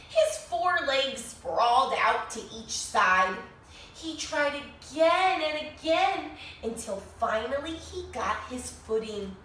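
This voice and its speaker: A woman reading a children's storybook aloud expressively, with dramatic pauses and questions to her young listeners. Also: high-pitched, pushed and bright. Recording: echoey room, distant mic, faint noise.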